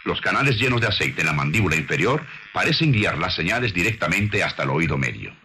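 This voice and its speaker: Male documentary narrator. Low register, expressive melodic delivery, measured and didactic. Scripted science read with clear background noise.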